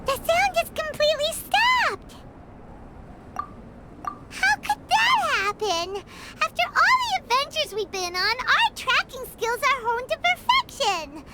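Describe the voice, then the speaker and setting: A woman voicing a small, excitable, childlike fairy companion in a video game, exclaiming as she talks. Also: extremely high pitch, takes it slowly, very noisy, full character voice. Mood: puzzled. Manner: refers to self in third person, exclamatory. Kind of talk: animated game voice acting.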